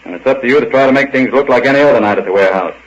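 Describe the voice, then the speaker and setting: An actor in an old-time radio drama playing a businesslike police chief, giving brisk instructions on a muffled recording. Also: male voice, medium pitch, lightly acted. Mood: commanding. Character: businesslike male police chief planning a warehouse raid.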